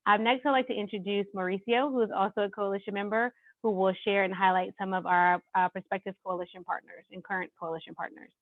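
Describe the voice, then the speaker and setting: A woman moderating an online event, introducing speakers with brisk phrasing and quick self-corrections. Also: mid-range voice, fairly even intonation, no echo. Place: video call. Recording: dry, tinny phone-like audio.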